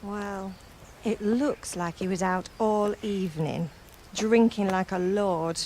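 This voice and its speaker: A woman playing a slow, scolding peasant mother. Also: medium pitch, darkish tone, a little hiss or hum, lightly acted. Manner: scolding, fussing. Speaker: mother and blacksmith's wife. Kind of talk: video game cutscene acting.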